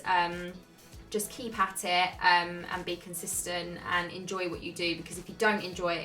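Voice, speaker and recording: Young woman vlogger, low-pitched and bright, relaxed chatty Q&A, faint music underneath. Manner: chatty, frequent "um" and "like", laid-back. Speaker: YouTuber answering viewer questions. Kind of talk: casual Q&A chat.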